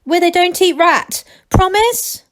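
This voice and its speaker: A woman voicing an eager, impatient young male gnome who talks with excitable pride in a children's storybook. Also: extremely high pitch, slow pace, pitched above the performer's own voice, exaggerated voice. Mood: anxious, insistent. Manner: excitable, proud, trucker slang.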